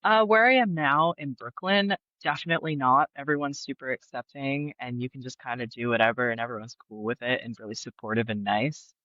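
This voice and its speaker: A man's voice: mid-range voice, steady tone, fairly quick, hardly any pauses, pushed and bright, no room sound, lo-fi sound. A young man chatting as a podcast guest, laughing often and talking with many fillers. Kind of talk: casual interview chat. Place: Brooklyn.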